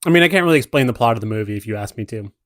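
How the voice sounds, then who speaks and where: A man's voice: medium pitch, expressive, very fast, fairly bright tone, no room sound, studio quality. A man chatting casually as he gives film commentary on a podcast.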